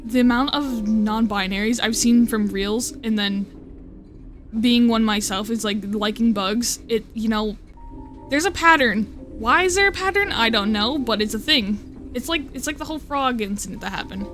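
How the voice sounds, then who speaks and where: A woman's voice: fairly high pitch, moderate pace, fairly bright tone, faint music behind. A young woman streaming live, chatting casually and rambling, often cutting herself off and swearing. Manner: rambling, swearing, with self-interruptions and laughs.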